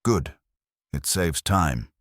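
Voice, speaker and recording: Male narrator as vain male artist, suave, smug, theatrical, ornate phrasing, deep, lively intonation. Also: very slow, no echo, studio quality, heavily acted. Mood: smug, charming.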